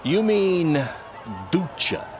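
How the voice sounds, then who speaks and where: An actor playing an upbeat, encouraging wrestling buddy in a video game cutscene, casual and reassuring. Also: male voice, sits high, extremely slow, over music, crowd noise. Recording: clear background noise.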